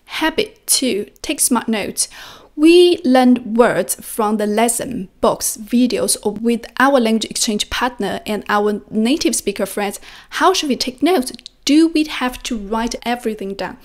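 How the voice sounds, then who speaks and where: A young woman whose first language is Chinese hosts an instructional vlog. Her voice sits on the high side. She speaks to viewers into a close desk microphone in a room at home. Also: unhurried, soft background music. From China. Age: young adult. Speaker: language-learning video host. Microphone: close desk microphone with pop filter.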